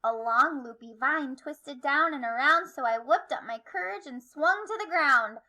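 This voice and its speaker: A woman reading a children's story aloud in expressive, rhythmic, sing-song rhyme. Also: sits high, unhurried. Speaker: storybook reader.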